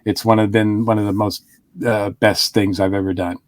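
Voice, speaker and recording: A man's voice: fairly low pitch, flat delivery, moderate pace, dry recording. Chatty man with a dry voice. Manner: rambling, with frequent restarts and chuckles. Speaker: podcast co-host. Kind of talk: casual review chat.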